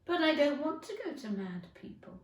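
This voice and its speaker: A woman's voice: high voice, big pitch swings, normal speed, voice pushed up. A woman voicing a polite, curious young girl from a children's storybook, earnest and questioning. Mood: polite, puzzled. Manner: earnest, well-mannered, questioning. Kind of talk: children's storybook character reading. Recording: echoey room.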